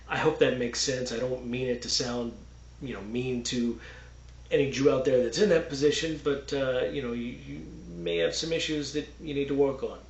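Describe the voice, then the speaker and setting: Texas-raised man, mid pitch, halting unscripted explainer, dull roomy sound. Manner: halting, many fillers and restarts, explains with "okay" and "right". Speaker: religious commentator. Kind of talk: unscripted video monologue.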